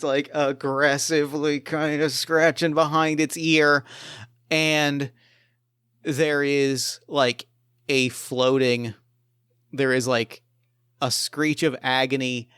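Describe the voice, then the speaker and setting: A man narrating an improvised tabletop game as game master, with deliberate, halting, dramatic phrasing. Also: mid-range voice, a bit bright, clear, resonant tone, no echo, studio quality. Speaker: game master and podcast host.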